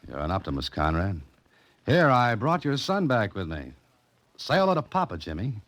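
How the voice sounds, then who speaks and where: An actor playing a wry, wisecracking amateur sleuth in a clipped, sardonic 1940s radio drama style. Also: male voice, lowish voice, wildly swooping pitch, moderate pace, a bit bright. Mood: sardonic needling. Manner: sardonic, hard-boiled, clipped. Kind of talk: 1940s radio drama acting, first-person narration. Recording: old radio broadcast with music.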